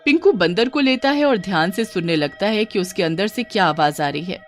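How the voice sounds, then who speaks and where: A woman's voice: mid-range voice, expressive, fairly quick, faint music behind. A woman narrating a scripted children's horror story.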